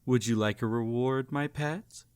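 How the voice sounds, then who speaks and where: A man's voice: mid-range voice, measured pace, darkish tone, clear, resonant tone, natural voice. A man playing a cruel, possessive emperor in a roleplay audio drama, speaking in a formal, pompous, teasing and condescending way. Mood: silky, commanding. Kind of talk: scripted roleplay audio drama, intimate address to listener.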